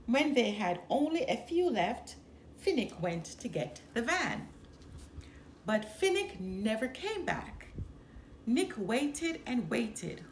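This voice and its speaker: A woman reading a children's storybook aloud with expressive, deliberate phrasing. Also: a little high. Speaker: storybook reader. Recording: roomy echo, some background noise.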